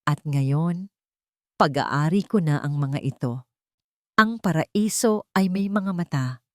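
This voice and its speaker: A woman narrator voicing a cold, calculating, vengeful wife in an audio drama, menacing and deliberate. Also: sits low, darkish tone, dry recording, studio quality. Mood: cold menace.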